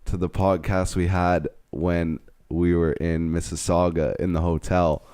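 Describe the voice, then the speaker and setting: A man's voice: sits low, flat delivery, a little slow, dark tone, smooth, no echo, clean studio sound. A man in his twenties co-hosting a podcast, talking in a rambling, frank way that trails off mid-thought. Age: 20s. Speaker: podcast co-host. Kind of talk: casual chat.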